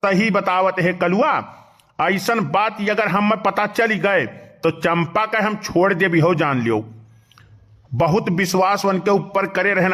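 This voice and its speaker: A man voices an excitable village uncle from a comic cartoon. His male voice sits fairly high and carries an Awadhi accent, with the manner of someone easily fooled.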